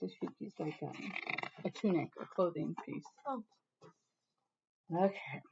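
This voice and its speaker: An American woman teacher reading a storybook expressively to students, pausing to explain words. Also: fairly low pitch, vocal fry, background noise. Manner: pauses to explain words to children. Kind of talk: expressive read-aloud with explanatory asides. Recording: dull, lo-fi sound.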